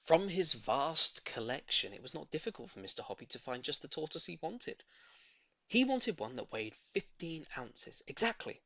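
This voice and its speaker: A man reading a children's story in an expressive, theatrical narrating style. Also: fairly high pitch, dry recording. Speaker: audiobook reader.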